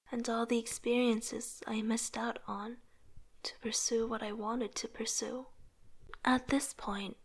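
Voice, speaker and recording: A young woman playing an earnest, struggling artist in a motion comic, narrating introspectively in a soft, breathy voice. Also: on the high side. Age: young adult. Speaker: narrating lead character. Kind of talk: scripted first-person narration.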